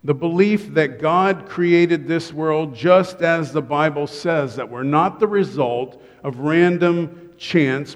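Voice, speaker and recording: Middle-aged guy preaching, kinda high voice, self-deprecating jokes, big echoey church sound. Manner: joking, self-deprecating asides. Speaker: pastor. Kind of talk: humorous sermon. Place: church sanctuary. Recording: long reverb of a church hall.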